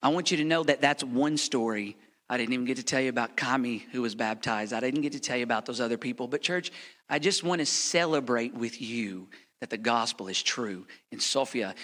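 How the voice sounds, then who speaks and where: Passionate preacher in a reverberant hall. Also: male voice, mid-range voice, fairly quick. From United States. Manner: passionate, preacherly, swings from loud to hushed. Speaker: missionary pastor. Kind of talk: sermon with a prayer. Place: church sanctuary.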